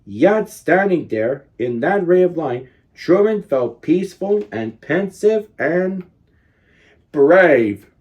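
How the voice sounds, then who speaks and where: Man reading slowly with very animated pitch. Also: lo-fi sound. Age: 30s. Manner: deliberate, dramatic emphasis on key words. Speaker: storyteller reading children's books aloud. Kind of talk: expressive picture book read-aloud.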